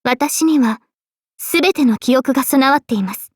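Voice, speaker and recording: A woman voicing a gentle, dignified young female knight in a game, speaking politely and with composure. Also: high-pitched, normal speed, husky, no room sound, studio quality. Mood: calm, explaining. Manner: polite, composed, formal speech. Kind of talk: scripted game character voice lines.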